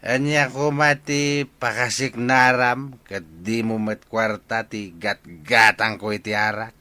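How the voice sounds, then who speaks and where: A man's voice: on the low side, fairly even intonation, takes it slowly, pushed and bright, no room sound. A man playing a boozy, brash freeloading father in an Ilocano radio comedy drama, drawling and boastful with an Ilocano accent. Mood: boozy, pleased.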